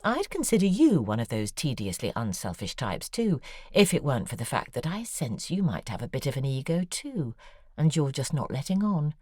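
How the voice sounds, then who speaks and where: A husky, deep-voiced novelist. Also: female voice, a little mellow, no echo, studio quality, heavily acted. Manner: intimate, confiding, drily ironic. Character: worldly, sardonic woman novelist, frank and teasing. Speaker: novelist writing letters. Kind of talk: audio drama monologue.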